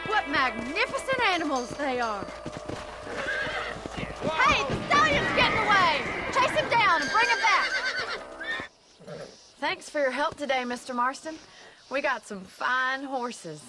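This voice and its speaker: A woman playing a plucky, sharp-tongued young American ranch woman in a Western game cutscene. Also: high-pitched, big pitch swings, music in the background. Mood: excited, grateful. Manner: brisk, forthright. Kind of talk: video game cutscene acting. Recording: clear outdoor noise with horses behind.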